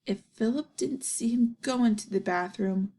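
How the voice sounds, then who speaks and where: A woman's voice: fairly high pitch, normal speed, dark tone, husky. A woman reading a children's story aloud as narration, with little asides to the listeners.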